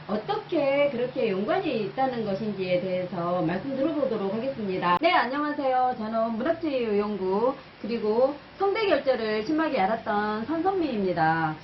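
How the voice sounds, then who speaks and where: A woman's voice: fairly high pitch, highs cut off, slight background noise. A woman channel host giving an explanatory personal talk in an interview-style format.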